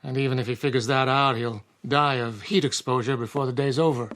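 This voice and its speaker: An actor playing a firm, rational small-town doctor in a radio drama, measured and authoritative as he explains. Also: mid-range voice, a bit bright, lightly acted. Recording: music under the voice.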